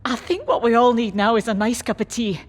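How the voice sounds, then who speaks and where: An actress plays a gentle, homely housekeeper in a radio drama. Her female voice is fairly high and kindly, with long reverb around it. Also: steady tone, fairly quick, natural voice. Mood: kindly, grateful. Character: kindly, grateful wife and housekeeper. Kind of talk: audio drama acting.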